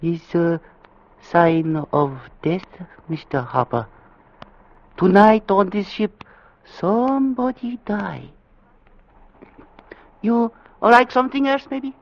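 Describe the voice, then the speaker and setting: An actor playing a polite, superstitious ship's steward in a radio drama, speaking halting broken English with a put-on foreign accent. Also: male voice, high-pitched. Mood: ominous, deferential. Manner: halting, deferential, clipped broken phrases. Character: soft-mannered, superstitious male ship's steward, politely ominous. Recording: dull vintage radio recording.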